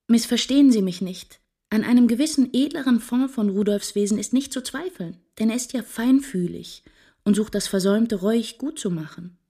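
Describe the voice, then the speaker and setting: An actress playing a scornful yet moved observer in a radio drama, with refined, literary diction. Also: female voice, a little high, mellow.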